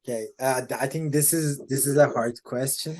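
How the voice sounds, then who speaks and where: A man with a Turkish accent answering interview questions in a casual, halting way, often restarting his sentences and laughing. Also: medium pitch, steady tone, darkish tone. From Turkey. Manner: hesitant, many restarts and laughs. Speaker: interview guest, Salesforce developer.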